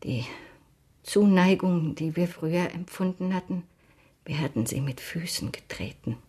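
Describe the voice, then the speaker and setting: Proud mature lady, low-pitched, breathy husky voice, radio drama, confiding and bitter. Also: lively intonation, a little slow, a little mellow. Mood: resigned, wistful. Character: proud older woman confiding a bitter lost friendship.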